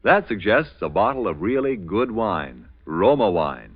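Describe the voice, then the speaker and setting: Deep-voiced American announcer on tinny old radio. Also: male voice, sing-song, a little slow. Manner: polished, persuasive. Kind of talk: scripted 1940s radio commercial.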